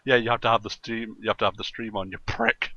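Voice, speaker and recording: A man bantering casually as a podcast co-host in an excitable, jokey manner. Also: lowish voice, fast talker, dull audio.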